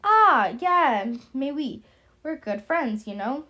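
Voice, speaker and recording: A woman voicing a jolly, tipsy, toothless old French woman for an audiobook, with a squeaky voice and effusive, broken English. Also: very animated, slow, sharp tone, full character voice. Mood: welcoming. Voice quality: high-pitched, squeaky, squealing. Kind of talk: audiobook character voice.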